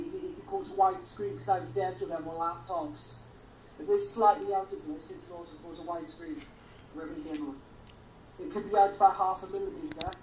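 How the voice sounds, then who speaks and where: A woman's voice: medium pitch, a little hiss or hum. Welsh-accented woman advising on repairs, heard from across an echoey room. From Wales. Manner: explains step by step. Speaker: guest helping troubleshoot. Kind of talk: casual tech advice. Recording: echoey room, distant mic.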